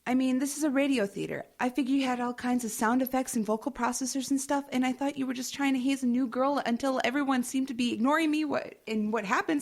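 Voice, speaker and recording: Actress as shaken, high-voiced young newcomer. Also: female voice. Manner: halting, stammering, upset. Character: shaken, earnest young newcomer woman at radio theater. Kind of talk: naturalistic audio drama acting.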